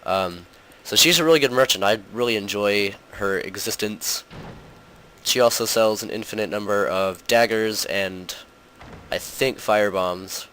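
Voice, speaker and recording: A young man with a lowish voice narrates his gameplay in a loose, rambling way, with lots of "like" and "um". His voice is a little rough from a cold. A faint hum of noise sits under the recording. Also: measured pace, a bit bright. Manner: rambling, heavy on fillers like "like" and "um". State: slightly hoarse from a cold. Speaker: host of a gaming channel. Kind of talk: casual gameplay commentary.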